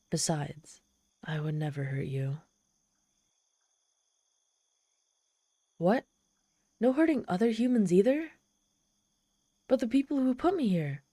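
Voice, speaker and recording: A woman's voice: lowish voice, warm tone, no room sound, clean studio sound. A woman playing a proud, desperate, socially awkward trapped demon in a scripted ASMR roleplay, speaking haughtily and directly to the listener. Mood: reluctant, earnest.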